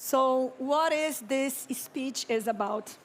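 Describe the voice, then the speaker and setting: A Brazilian woman, an architect and urban planner in her thirties, delivers a keynote on a conference stage. Her English carries a Brazilian Portuguese accent and her voice sits high. She speaks in a slow, calm, reflective way and often clears her throat.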